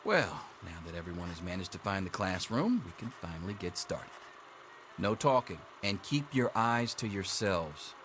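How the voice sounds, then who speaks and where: An actor playing a dry, sarcastic schoolteacher running an exam in a video game. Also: male voice, low-pitched, big pitch swings, normal speed, noisy background. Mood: sarcastic, stern. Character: sardonic male vault schoolteacher giving an exam. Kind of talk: scripted video game character dialogue.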